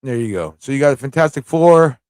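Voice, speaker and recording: A man's voice: medium pitch, expressive, brisk, darkish tone, smooth, no room sound, clean studio sound. A man hosting a livestream in a casual, rambling way, thinking aloud and repeating phrases as he goes. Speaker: livestream show host.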